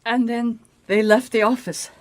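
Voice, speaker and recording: An actress playing an anxious, loyal female office employee, reluctant and halting, in a radio drama. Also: on the high side, fairly even intonation, lightly acted. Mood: subdued.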